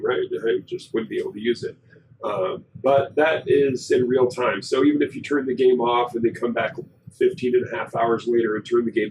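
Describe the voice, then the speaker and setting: A man hosting a casual gameplay commentary, hoarse and raspy from a cold, explaining things in a rambling way with many fillers. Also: mid-range voice, a little mellow, a little hiss or hum, rough recording. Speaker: gaming channel host.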